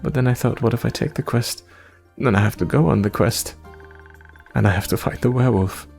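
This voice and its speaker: A man's voice: mid-range voice, a little fast, creaky, a little mellow, lightly acted. A man voicing an anxious, self-doubting young adventurer from the forest, stammering and rambling in a visual novel. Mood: rambling, flustered. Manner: nervous, hesitant stammering and rambling. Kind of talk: visual novel character read-aloud. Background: music.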